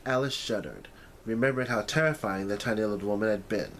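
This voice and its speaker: A man's voice: lowish voice, quick pace, a bit bright, a little hiss or hum. A man narrating a story as if for an audiobook, occasionally stumbling and repeating phrases as he reads.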